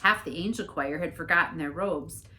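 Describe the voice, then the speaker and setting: A woman reading a storybook aloud as an expressive narrator. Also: fairly low pitch, normal speed, a little hiss or hum. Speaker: story reader, church staff member.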